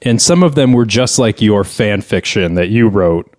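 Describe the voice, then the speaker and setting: A man's voice: on the low side, lively intonation, moderate pace, darkish tone. A man hosting a podcast in casual banter, skeptical and full of questions. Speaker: podcast host.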